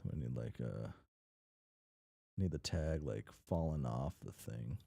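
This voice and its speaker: A man's voice: very deep voice, expressive, slow, gravelly, warm tone, husky, no echo, clean studio sound. A man casually chatting and reading aloud in an offhand way, sometimes stumbling over words as the host of a read-along stream. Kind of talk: casual chat while drawing and reading a story aloud.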